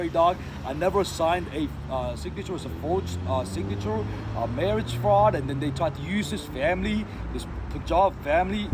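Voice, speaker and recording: A man from Sri Lanka, rambling through a casual monologue full of slang, fillers and restarts. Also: on the high side. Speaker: vlogger. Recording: noisy street with passing cars.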